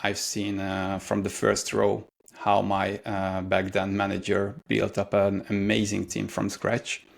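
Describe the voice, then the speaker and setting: A man's voice: lowish voice, completely flat delivery, measured pace, vocal fry, hardly any pauses. A man in his thirties from Hungary, speaking English with a Hungarian accent as a guest in an interview, answering thoughtfully with frequent hesitations. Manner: thoughtful, many hesitations and fillers. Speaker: guest, career mentor.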